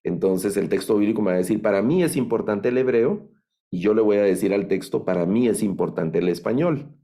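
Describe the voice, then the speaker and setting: A middle-aged man with a Guatemalan accent giving an online lecture on biblical languages, thoughtful and halting, with many fillers and restarts. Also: on the low side, mellow. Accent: Guatemalan Spanish. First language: Spanish. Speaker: Bible language instructor.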